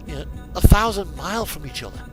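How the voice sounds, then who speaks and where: A man rambling casually as he streams, speaking haltingly with pauses mid-sentence. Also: a little high, expressive. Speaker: game streamer. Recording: electronic music playing behind the voice. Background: non-stop music from in-game radio, vehicle sounds.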